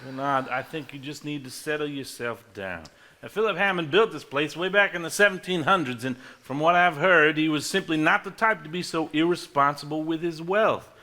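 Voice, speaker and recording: Man acting a clear-headed younger brother, bright voice. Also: a little high, moderate pace. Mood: calm, firm. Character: level-headed, reasoning younger brother of Southern family. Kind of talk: live stage play acting. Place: theater stage before a live audience.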